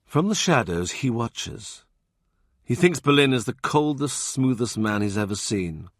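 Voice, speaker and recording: A man narrating an audiobook in a calm, measured way. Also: medium pitch, no room sound, clean studio sound. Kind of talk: scripted narration.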